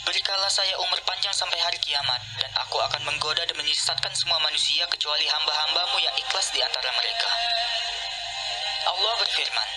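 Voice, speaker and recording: A man's voice: a little high, a little fast. A man reading a scripted religious storybook narration, with music playing over his voice. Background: loud music.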